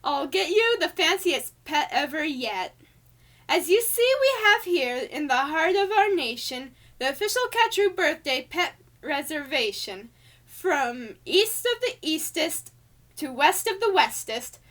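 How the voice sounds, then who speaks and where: A very high-pitched cartoon angel lady, played by a woman, reads a children's storybook aloud. Her delivery is theatrical and sing-song, swooping widely through the rhymes and leaning hard on key words. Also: very animated, a little slow, fairly bright tone, heavily acted. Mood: bright, excited. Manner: theatrical, exaggerated emphasis, showy. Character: Alice Angel, female cartoon angel reading a bouncy birthday storybook.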